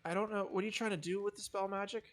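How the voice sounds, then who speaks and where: Higher-voiced casual man running a game. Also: steady tone, racing, soft background music. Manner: casual, swearing. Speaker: game master. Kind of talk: improvised tabletop game narration.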